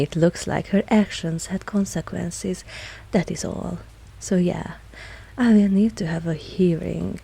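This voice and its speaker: A woman playing an ancient, composed and fondly dominant vampire mistress in an intimate ASMR audio roleplay, softly spoken with a husky voice. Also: exaggerated voice. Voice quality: husky, low, breathy at times. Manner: slow, measured, softly spoken.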